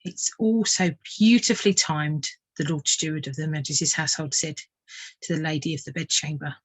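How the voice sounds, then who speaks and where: A woman narrating a children's storybook like a tutor reading aloud, measured and expressive. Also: deep voice, breathy edge, dry recording.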